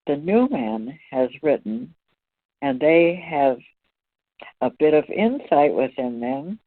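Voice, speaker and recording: A woman with a notably low voice leads a spiritual discussion. She speaks very slowly and deliberately, phrase by phrase. The sound is thin and band-limited, like an online call. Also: no echo, phone-quality sound. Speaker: presenter leading a spiritual discussion.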